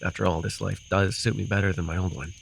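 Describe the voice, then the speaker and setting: A man playing a gruff but caring, easily flustered fantasy forest guide in an immersive ASMR roleplay, speaking hesitantly and soothingly. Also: sits low, level tone, fast, a little hiss or hum. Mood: earnest, reassuring. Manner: hesitant, soothing, sighing, teasingly defensive.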